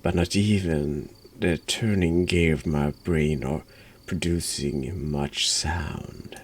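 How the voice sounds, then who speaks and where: Very deep, slow man with a cold. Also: steady tone, husky. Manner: slow, halting, drops into whispers. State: congested with a cold. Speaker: streamer and host of a horror game playthrough. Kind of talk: hushed ASMR-style game commentary and read-aloud narration.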